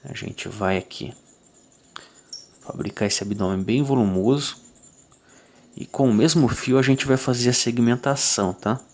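A Brazilian man calmly walking through a fly tying tutorial, checking in with listeners after each step. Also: medium pitch, measured pace, pauses often. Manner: calm, methodical, ends phrases with "tá?".

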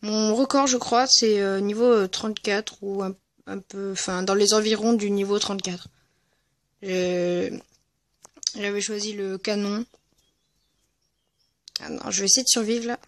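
A gaming video creator giving casual, hesitant and rambling commentary over gameplay, speaking in a medium-high voice. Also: fairly even intonation, unhurried, long pauses, no room sound. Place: at home, voice-over on mobile gameplay recording.

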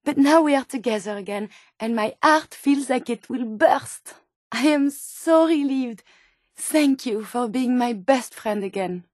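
An actress voicing a gentle, earnest female robot scientist turned human in a video game, with a French accent and formal, precise phrasing. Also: fairly high pitch, measured pace, dry recording, clean studio sound. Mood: tender, grateful. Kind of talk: video game character dialogue.

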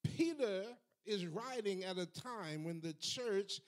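An older man preaching a sermon from a church pulpit into a handheld microphone, measured at first and building to fervent repetition. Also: sits high, animated. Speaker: pastor. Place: church sanctuary.

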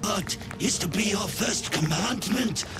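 Fervent fish-creature priest, high voice, grandiose reverent proclamations, drawn-out delivery, fantasy game, loud music behind. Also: male voice, lively intonation. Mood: fawning awe. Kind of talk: fantasy video game acting.

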